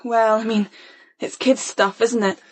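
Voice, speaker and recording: A woman's voice: on the high side, steady tone, unhurried, slightly rough. A woman playing a troubled, flippant, evasive fourteen-year-old girl in a radio crime drama. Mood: brittle.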